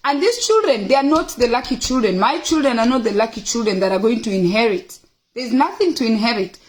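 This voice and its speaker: A woman's voice: fairly high pitch, low-quality recording. A middle-aged woman with a Kenyan accent, talking casually and emphatically to her viewers, repeating phrases for stress. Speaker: host of a personal livestream. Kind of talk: casual, opinionated talk to viewers, reading out questions.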